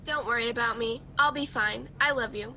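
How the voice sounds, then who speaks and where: A humble young bride-to-be, acted by a woman, with a high, bright voice. She is earnest and devout in radio-drama style, recorded at home with faint noise and rumble. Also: moderate pace. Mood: happy, affectionate. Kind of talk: radio play acting.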